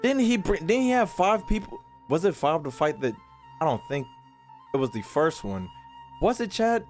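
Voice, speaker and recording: A man streaming casually to his chat, profane and slangy, thinking out loud as he talks. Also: a little high, sing-song, normal speed, faint music behind. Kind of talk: casual live commentary to chat.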